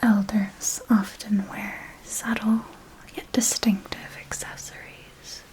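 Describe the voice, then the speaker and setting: A woman playing an elegant, seductive vampire mentor in an ASMR roleplay, speaking formally and deliberately in a soft, breathy whisper. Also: medium pitch, flat delivery, close to an everyday voice, rough recording. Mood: hushed, grave. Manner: slow, deliberate, formal, with lingering pauses.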